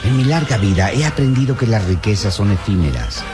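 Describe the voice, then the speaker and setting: Low-voiced, solemn elderly professor. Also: male voice, big pitch swings, brisk, music in the background. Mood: wistful, anguished. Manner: earnest, solemn, formal. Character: elderly idealistic male professor, principled, longing father. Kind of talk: old radio serial acting.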